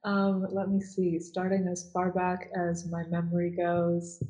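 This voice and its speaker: A woman's voice: lowish voice, completely flat delivery, measured pace, warm tone, poor audio. A young woman from Nazareth, a guest in a casual interview, talking hesitantly with many fillers and restarts. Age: young adult.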